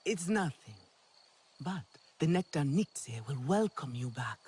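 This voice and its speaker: An actor playing a playful, reassuring alien clan villager in a video game, teasing and theatrical. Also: male voice, on the high side, animated, measured pace, a little mellow, slightly rough, heavily acted. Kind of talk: dramatic video game acting.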